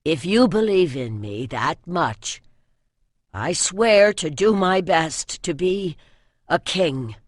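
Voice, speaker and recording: A woman's voice: on the low side, expressive, takes it slowly, no echo, studio quality. Woman voicing a proud, sullen teenage boy. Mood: earnest. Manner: muttering, mutinous, earnest. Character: proud, sullen teenage boy hiding his royal name. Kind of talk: fantasy audiobook character voice.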